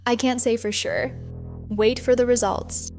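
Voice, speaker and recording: A woman playing an earnest, determined young mechanic student in an animated drama dub. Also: fairly high pitch, a little slow, faint music behind. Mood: firm.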